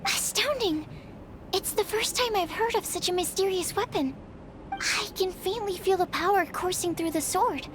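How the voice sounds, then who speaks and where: A woman's voice: very high voice, normal speed, noisy background. A woman voicing an earnest young female alchemist in a video game, formal and polite, stammering when flustered. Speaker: game character. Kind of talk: video game cutscene dialogue. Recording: game audio with music behind.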